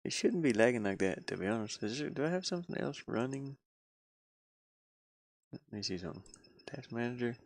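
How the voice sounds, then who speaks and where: A man chatting casually on a live stream as he works on a game, halting and muttering his thoughts aloud. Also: medium pitch, expressive, gravelly. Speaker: hobby game developer streaming his work. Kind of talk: casual live-stream chat while working.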